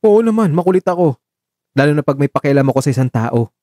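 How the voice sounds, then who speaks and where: A man's voice: on the high side, lively intonation, fast talker, warm tone, no echo, clean studio sound, voice pushed up, natural voice. A man playing a caring, nagging man who frets and gets exasperated in an audio drama. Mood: caring, insistent. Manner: exasperated, insistent, emotional. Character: Marco, caring young man, nagging and fretful.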